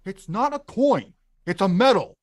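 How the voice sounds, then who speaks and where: A woman playing a gruff, hot-tempered big lug of a man in an animated film table read. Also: mid-range voice, unhurried, no room sound, clean studio sound. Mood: indignant. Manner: grumpy, blustering.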